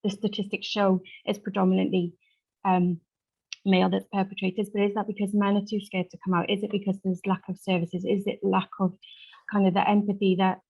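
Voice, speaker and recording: A woman speaking off the cuff as a support worker in a group discussion, earnest and halting as she pieces her sentences together. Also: mid-range voice, level tone, gravelly, slightly rough, dull audio. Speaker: support worker, survivor engagement officer. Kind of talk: unscripted contribution to a group discussion.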